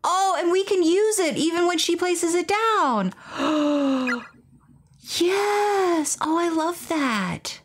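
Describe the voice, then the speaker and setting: A woman giving playful, expressive let's play commentary on a game, excitable and theatrical when reading aloud. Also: very high-pitched, slow, a little hiss or hum. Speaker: game streamer and host.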